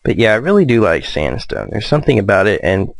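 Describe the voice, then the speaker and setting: A man giving casual, low-key let's play commentary, musing hesitantly to himself as he plays. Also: very animated, fast, creaky, no echo. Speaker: gamer, let's play host.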